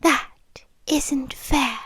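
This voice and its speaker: Woman playing a slow, high-voiced British vampire girlfriend. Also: steady tone, no room sound, studio quality, heavily acted. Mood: soothing, pitying. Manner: slow, hushed, lingering, coaxing with soft pauses. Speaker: ASMR roleplay performer. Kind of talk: intimate girlfriend roleplay ASMR, often whispered. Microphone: close microphone, dry.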